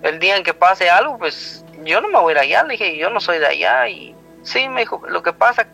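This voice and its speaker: High-voiced Guatemalan man recounting personal memories. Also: big pitch swings, fairly quick, vocal fry, bright, forceful tone, rough recording. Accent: Guatemalan Spanish. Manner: rambling, retells dialogue with frequent "he told me" tags. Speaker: guest telling a personal story. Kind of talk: personal storytelling in conversation. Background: music.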